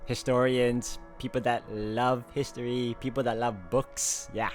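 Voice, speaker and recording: A man's voice: mid-range voice, level tone, unhurried, faint music behind. A man reading a visual novel's narration aloud as a player, adding informal, chatty commentary. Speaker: game walkthrough player reading along.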